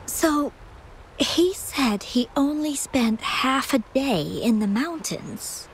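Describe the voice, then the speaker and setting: A gentle, anxious young sweetheart. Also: female voice, high-pitched, animated, takes it slowly, a little hiss or hum, heavily acted, low-quality recording. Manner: hesitant, stammering. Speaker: game character. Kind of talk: game cutscene voice acting.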